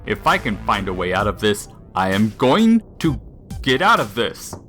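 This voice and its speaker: A man's voice: fairly low pitch, very animated, normal speed, sharp tone, faint music behind. A man playing a defiant, vain captive hero in an audio comedy, sounding self-assured. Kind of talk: audio comedy drama dialogue.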